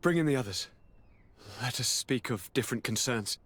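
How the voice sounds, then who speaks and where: A man's voice: medium pitch, animated, moderate pace, a bit bright, husky. An actor playing a needy, anguished young aristocrat in a video game, theatrical and formal in his delivery. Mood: tender, scheming. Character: sickly, anguished young male aristocrat governor, melodramatic and needy. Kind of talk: dramatic video game acting.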